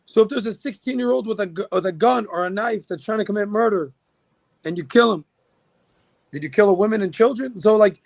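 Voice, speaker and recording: A man with a high, gravelly voice makes his case in a casual podcast talk, piling up figures and dropping in fillers and restarts. The room sounds dry and close to the mic. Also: fairly quick, dry recording. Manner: opinionated, argues with numbers, many fillers. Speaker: podcast guest. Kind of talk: casual political chat.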